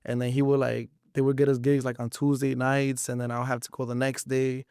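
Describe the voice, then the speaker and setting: Young dude, gravelly fry in his voice, dry close sound, slangy spanglish interview chat. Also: medium pitch, level tone, a little fast, a little mellow, no echo. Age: about 20. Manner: slangy, informal, frequent swearing. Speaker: musician, interview guest. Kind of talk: casual interview chat with Spanish-English code-switching.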